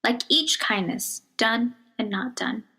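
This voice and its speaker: A woman with a fairly high voice reads a children's picture book aloud. Her storytelling is measured and expressive, with some phrases softened toward a hush. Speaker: storybook reader.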